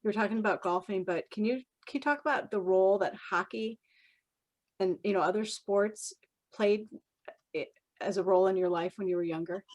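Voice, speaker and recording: A woman hosting a casual interview, haltingly rephrasing as she goes. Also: medium pitch, a little mellow, no room sound, lo-fi sound. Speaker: interview host.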